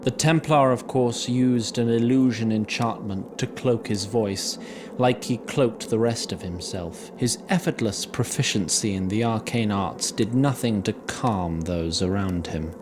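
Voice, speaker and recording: A man narrating a scripted fantasy story in a deliberate, literary, wry manner. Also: on the low side. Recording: clear background noise and ambience.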